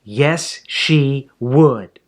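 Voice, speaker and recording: A man's voice: medium pitch, drawn-out words. A man voicing a sulky, self-important, indignant schoolgirl in a storybook, with petulant delivery.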